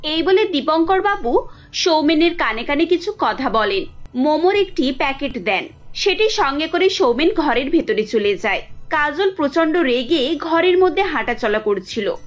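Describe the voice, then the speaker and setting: A woman's voice: sits high, big pitch swings, moderate pace. A woman reading scripted narration for a cartoon story, with dull lo-fi sound. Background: music.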